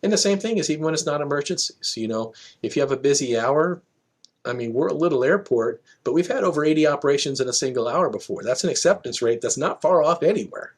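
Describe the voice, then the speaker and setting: A man's voice: a little high, lively intonation, fast, slightly rough. A middle-aged man speaking as an interview guest, explaining things conversationally through examples and anecdotes. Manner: explanatory, illustrates with examples and quotes. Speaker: guest, air traffic control manager.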